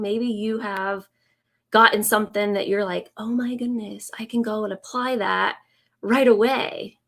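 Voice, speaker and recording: A woman in her thirties, raspy from a summer cold, talking slowly and warmly to viewers on a live stream as a business coach, with many ums. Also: medium pitch. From Indiana, US. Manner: slow, friendly, many ums.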